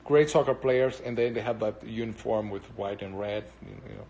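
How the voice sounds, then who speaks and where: A Brazilian man speaks English with a Brazilian Portuguese accent, Portuguese being his first language. He chats loosely through a live stream, answering viewers as thoughts come to him. He rambles and pauses often, filling gaps with plenty of hesitations. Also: fairly low pitch, level tone, vocal fry, a little mellow. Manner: rambling, hesitant with many fillers. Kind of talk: casual live-stream chat.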